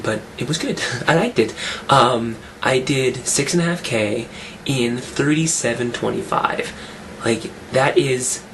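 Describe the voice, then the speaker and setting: Chatty man with a cold-roughened voice. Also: mid-range voice, fairly bright tone, a little hiss or hum, poor audio. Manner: rambling, chatty, restarts sentences. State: getting over a cold, rough voice. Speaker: fitness vlogger. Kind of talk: casual weekly update vlog.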